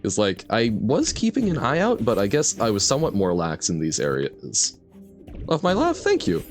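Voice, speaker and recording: A man's voice: lowish voice, wildly swooping pitch, moderate pace, faint music behind. A man streaming a video game, talking casually to his chat in a rambling, reflective way and laughing often. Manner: reads and answers chat. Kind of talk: casual gameplay commentary.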